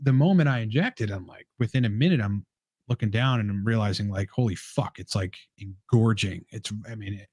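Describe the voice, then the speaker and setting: A man's voice: lowish voice, fairly quick, a little mellow, slightly rough, no room sound, studio quality. A man talking casually as a podcast guest, rambling with lots of fillers, restarts and swearing. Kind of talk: casual chat.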